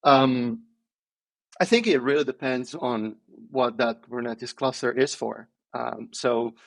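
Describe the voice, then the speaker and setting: A man, answering interview questions in a thoughtful, hesitant way with many pauses and restarts. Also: medium pitch, gravelly. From Italy. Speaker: podcast guest, software engineer.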